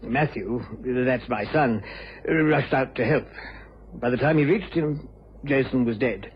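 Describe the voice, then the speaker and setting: Muffled, slightly hissy radio recording of an actor as a grieving country gentleman. He is a man of medium pitch, earnest and insistent, giving his account with emphasis. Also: a little slow, lightly acted. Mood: earnest recounting. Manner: earnest, emphatic, recounting witness. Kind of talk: radio crime drama acting.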